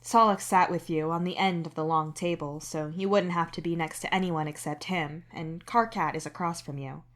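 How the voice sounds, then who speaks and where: A woman reading scripted fiction as narrator, in an introspective, expressive way. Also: low-pitched. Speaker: narrator and author reading own fan fiction.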